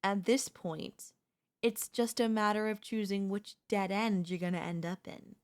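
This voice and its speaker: A woman's voice: mid-range voice, steady tone, a little mellow, natural voice. A woman voicing a chatty, teasing zombie in a comedic audio roleplay, talking casually and playfully straight to the listener. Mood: confident, taunting. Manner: casual, playful, taunting, slangy, drawn-out delivery. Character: chatty, teasing, cocky female zombie hunting a human survivor.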